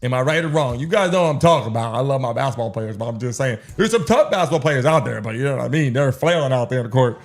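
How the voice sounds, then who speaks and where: A man's voice: medium pitch, animated, fast talker, hardly any pauses, faint music behind. A man hosting a sports watch-along livestream, talking in a hyped, slangy, repetitive way as he urges the chat on. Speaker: livestream host.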